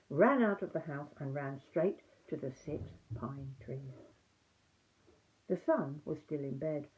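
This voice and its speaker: An older woman reading a children's story aloud in an expressive, emphatic storytime manner. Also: deep voice, phone-quality sound. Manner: animated, emphatic reading for young listeners.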